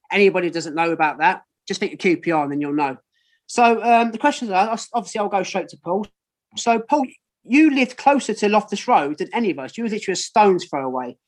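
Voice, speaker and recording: A man in his thirties with a London accent, hosting a casual football podcast with lots of ums and mates. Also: high-pitched. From London, England. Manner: chatty, matey, lots of ums. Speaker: podcast host. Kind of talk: casual chat.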